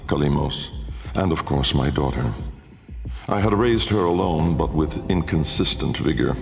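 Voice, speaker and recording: An actor playing a haunted, brooding older aristocratic inventor in a video game, delivering a grandiloquent, deliberate dramatic monologue in a gravelly voice. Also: male voice, very deep voice, exaggerated voice. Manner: grandiloquent, deliberate, pausing for weight. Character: brooding, haunted male aristocratic inventor recounting his memoir. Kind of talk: theatrical dramatic monologue narration. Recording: long cavernous reverb under music.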